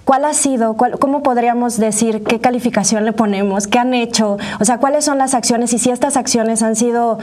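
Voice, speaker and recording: A woman's voice: on the high side, fairly even intonation, brisk, few pauses, darkish tone. A woman with a Mexican accent hosting an interview, asking long conversational questions. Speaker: host.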